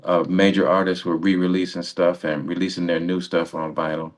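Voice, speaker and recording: A man's voice: low-pitched, little pitch movement, fairly quick. A man hosting a radio interview in casual, rambling chat, often breaking off and rephrasing his sentences. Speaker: radio show host.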